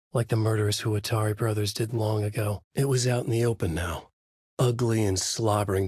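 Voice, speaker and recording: An actor playing a brooding, haunted male writer who gravely narrates his own horror story in a husky voice for a video game. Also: on the low side, darkish tone, no room sound, studio quality, lightly acted.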